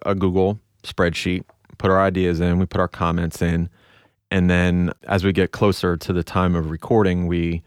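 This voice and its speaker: A man with a deep voice, captured in a dry recording with little room sound. He hosts a podcast informally, in an easygoing conversational style. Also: no room sound. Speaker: podcast co-host. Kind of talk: casual, informal podcast chat.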